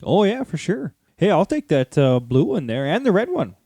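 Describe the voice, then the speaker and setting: A man's voice: sits high, sing-song, normal speed, mellow, husky, dry recording, voice pushed up, heavily acted. A man voicing a jovial, boastful elf king with an exaggerated Minnesotan accent in a comedy radio drama. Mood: cheerful, cocky. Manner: cheery, folksy, raised singsong delivery.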